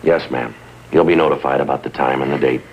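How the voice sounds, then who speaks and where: A man playing a stoic American police detective in a 1950s TV drama, deadpan and clipped. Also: extremely low pitch, fast talker, slight background noise. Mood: stoic, firm. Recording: dull vintage TV soundtrack.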